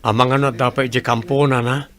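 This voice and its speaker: A man's voice: medium pitch, level tone, a little fast, fairly bright tone, no echo, lightly acted. A man playing a casual, helpful young construction worker in an Ilocano comedy radio drama, with a Filipino accent.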